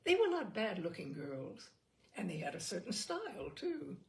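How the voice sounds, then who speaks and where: A woman reading a literary short story aloud expressively, with deliberate dramatic emphasis and pauses. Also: medium pitch, huge pitch swings, creaky, a little mellow. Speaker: storyteller, audiobook reader.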